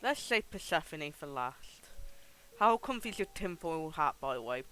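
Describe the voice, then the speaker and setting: Casting: male streamer, fairly high bright voice, casual play-along commentary, dryly jokey asides. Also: big pitch swings, moderate pace, clear, resonant tone, faint music behind. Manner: wry, joking asides.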